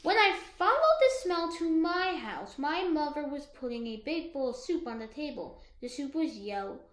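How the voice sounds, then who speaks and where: A woman reads a story to children in a high, bright voice. Her delivery is expressive and swings up and down, and the room around her echoes. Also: normal speed. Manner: expressive, dramatized reading. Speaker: teacher co-reading aloud to a class. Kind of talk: read-aloud storytelling for children.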